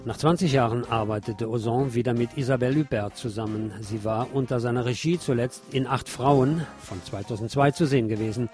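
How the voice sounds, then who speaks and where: A man's voice: mid-range voice, fairly quick, few pauses, darkish tone. Guy hosting radio show, reads movie review w/ little side comments, music behind him. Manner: chatty asides to the listeners. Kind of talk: film review read from script with casual asides.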